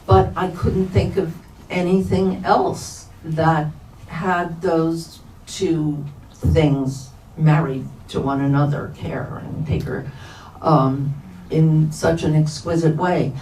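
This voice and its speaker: Older woman, author at a Q&A, halting, thoughtful, unhurried, echoey live room. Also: low voice, steady tone, mellow. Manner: halting, thoughtful, pausing mid-sentence. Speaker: author answering audience questions. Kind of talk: unscripted question-and-answer talk. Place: live event in a bookstore. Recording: echoey room, distant mic, background noise.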